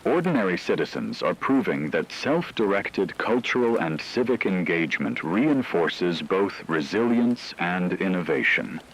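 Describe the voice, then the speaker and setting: Male radio anchor played by a man for apocalyptic broadcast drama: low voice, measured and earnest, faint hiss. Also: low-quality recording. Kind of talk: scripted radio news narration. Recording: hissy radio with rain-like noise.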